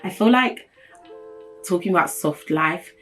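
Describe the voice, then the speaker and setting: A woman, hosting a solo vlog. She has a strong, deep voice and talks bluntly and emphatically, with a straight-talking manner. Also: lively intonation, unhurried, soft background music. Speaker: host. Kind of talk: solo opinion vlog talk.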